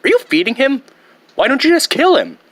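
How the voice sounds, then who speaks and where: Man doing a whiny, bright-voiced teenage son. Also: extremely high pitch, big pitch swings, fairly quick, pitched above the performer's own voice, exaggerated voice. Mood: exasperated. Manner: whiny, complaining. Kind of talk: comic film impression.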